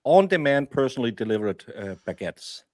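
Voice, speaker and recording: A man with a Danish accent, the guest baker, who talks in a deadpan, hesitant way. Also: mid-range voice, a little fast, no echo, studio quality.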